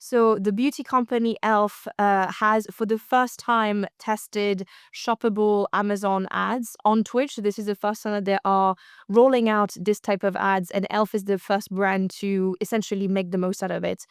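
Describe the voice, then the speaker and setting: A woman's voice: mid-range voice, fairly even intonation, normal speed, clear, resonant tone, no room sound, clean studio sound. A woman co-hosting a casual podcast, chatting loosely with lots of fillers and restarts. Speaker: co-host.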